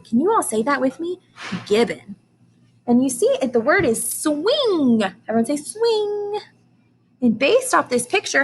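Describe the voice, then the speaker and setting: A woman's voice: high-pitched, a little hiss or hum. A woman teacher reading aloud to young children, explaining and asking questions in simple, emphatic language.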